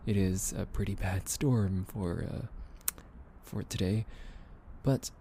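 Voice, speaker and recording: A young man talks close to the mic in a soothing, teasing ASMR roleplay, slow and unhurried. His voice is warm and mellow with a gravelly edge and an occasional soft whisper. A faint hiss sits behind him. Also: low voice. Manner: gentle, teasing, soothing, with soft laughs and hesitant fillers. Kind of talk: soft ASMR roleplay, boyfriend comforting listener.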